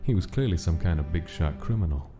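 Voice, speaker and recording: A man's voice: low voice, animated, a little fast. A man giving dramatic scripted narration for a comic story. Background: music.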